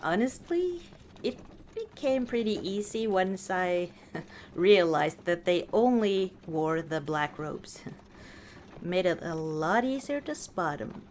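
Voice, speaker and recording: Woman as a slow-speaking, low-voiced orc warrior wife. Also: expressive, darkish tone, a little hiss or hum. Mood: amused, proud. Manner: unhurried, tender, halting. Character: battle-scarred orc warrior wife, proud yet softening. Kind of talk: ASMR roleplay, intimate one-sided dialogue. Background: outdoor ambience, horse hooves.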